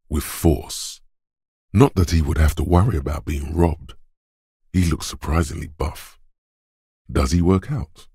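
An actor playing the gruff, domineering inner voice of physical strength in a video game, raspy and deliberate, narrating like a tough coach. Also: male voice, a little mellow, no echo, clean studio sound, exaggerated voice. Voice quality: very deep, gravelly, raspy. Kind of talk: scripted game narration, dramatic second-person.

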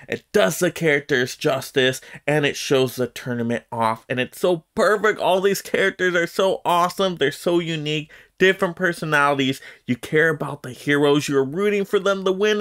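A man's voice: fairly high pitch, a bit bright, clean tone. A man in his thirties hosting an enthusiastic, emphatic countdown movie review to camera in a room at home. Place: small room at home lined with shelves of collectibles, indoors.

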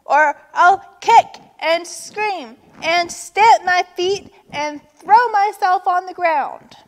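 Whiny, demanding young girl turtle for a storybook, played by a woman: high, swooping screeches, long room reverb. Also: very animated, takes it slowly, fairly bright tone, full character voice. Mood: screaming, frantic. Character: whiny, screaming young female turtle throwing a tantrum.

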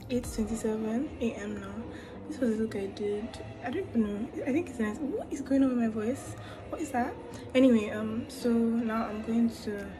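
A tired young woman with a Nigerian accent talks into a phone held at arm's length in a small bedroom. Her voice sits fairly high, and she chats in an animated, self-mocking way. Music runs clearly beneath her voice. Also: poor audio. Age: 20s. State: sleepy and tired. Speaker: vlogger. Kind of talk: casual selfie vlog chat.

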